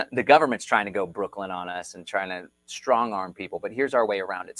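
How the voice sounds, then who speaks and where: An energetic man hosting a casual livestream, explaining in a rallying tone and repeating key points. His voice sits at a middle pitch with a dry, close sound. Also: dry recording. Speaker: host. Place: Texas.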